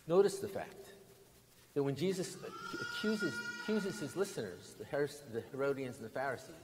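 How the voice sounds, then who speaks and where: An older man with an American accent delivers a sermon as a bishop, telling stories along the way. He phrases things deliberately, pausing and repeating for emphasis. His mid-pitched voice rings through a large church with long reverb and faint background noise. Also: a little mellow. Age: 60s or older. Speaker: bishop preaching. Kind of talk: sermon with storytelling. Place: large stone church interior, at lectern.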